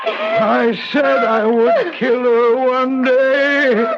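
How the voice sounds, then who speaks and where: A man playing a hallucinated murderous father, eerily tender to his daughter, in halting phrases in a radio drama. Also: extremely high pitch, animated, vocal fry, heavily acted. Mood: eerie, confessing. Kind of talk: 1970s radio drama acting. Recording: dull 1970s radio recording, loud music.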